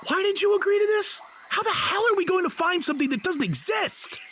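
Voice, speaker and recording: A man's voice: extremely high pitch, lively intonation, brisk, fairly bright tone, slight background noise. A man playing a sceptical, exasperated husband in an audio drama, sounding incredulous and petulant. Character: exasperated, sceptical husband thrown into fantasy world.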